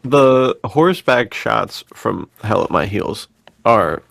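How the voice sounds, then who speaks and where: Gravelly, low-voiced American man chatting. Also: animated, takes it slowly, fairly bright tone, dry recording. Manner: slangy, bro-ish, chuckles through stories. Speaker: podcast co-host. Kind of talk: casual podcast chat, telling personal stories.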